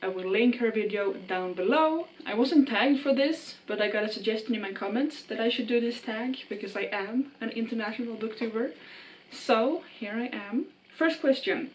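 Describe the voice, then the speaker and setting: A young woman from Sweden with a Swedish accent, vlogging casually about books in a hesitant, self-deprecating way, trailing off quietly. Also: on the high side, normal speed, distant mic, poor audio. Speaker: book vlogger. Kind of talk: casual vlog answering a tag.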